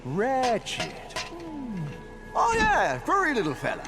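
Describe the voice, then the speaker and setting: An old plumber guy, gravelly and folksy, voice goes up and down a ton, music under it. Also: very high voice, huge pitch swings, slow, heavily acted. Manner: folksy drawl, rambling. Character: folksy old male plumber, chatty and kind. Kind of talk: video game cutscene acting.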